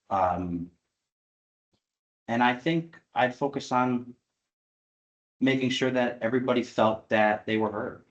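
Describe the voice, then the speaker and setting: Deep-voiced young man, slow and hesitant. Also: low-quality recording. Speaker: candidate. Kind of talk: candidate answer.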